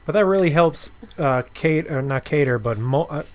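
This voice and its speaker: A man hosting a podcast in casual conversation, rephrasing haltingly as he goes. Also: a little high, lively intonation, a little mellow. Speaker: podcast host. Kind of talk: casual interview chat.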